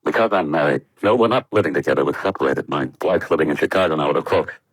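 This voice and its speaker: An actor playing an earnest, troubled young American test pilot, hesitant and subdued in 1950s radio drama style. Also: male voice, very deep voice, quick pace, husky, dry recording, clean studio sound. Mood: guilty, pleading.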